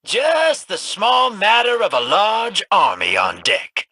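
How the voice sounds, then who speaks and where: A man's voice: sits high, huge pitch swings, takes it slowly, sharp tone, husky, full character voice. An actor playing a roguish male space scoundrel in a video game, talking in a wry, teasing drawl.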